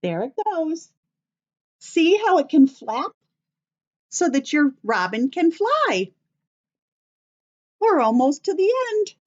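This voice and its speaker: Children's story-time teacher, female. Lively, high-pitched, slow step-by-step delivery with encouraging questions. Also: dull audio. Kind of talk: instructional talk to young children.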